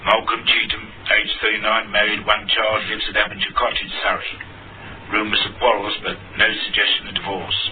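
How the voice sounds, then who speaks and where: A dutiful police operations officer in an old radio drama, played by a man. The voice is fairly low and delivers clipped, businesslike reports. The sound is narrow, with music behind. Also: monotone, a little fast, natural voice.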